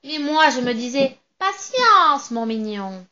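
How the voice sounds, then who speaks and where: A woman voicing a small, nasty old witch with a shrill, sour voice and a sneering, mocking manner. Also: expressive, unhurried, sharp tone, muffled recording, heavily acted. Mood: gloating. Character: nasty old female witch in a broom cupboard.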